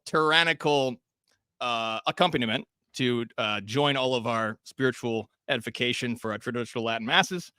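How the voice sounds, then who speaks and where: A man opens a roundtable discussion as its host, introducing the guests and the news with wry, sarcastic asides and plenty of "uh" fillers. His voice is bright and forward. The sound is dry and close, with no room around it. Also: medium pitch, no echo. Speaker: host and editor.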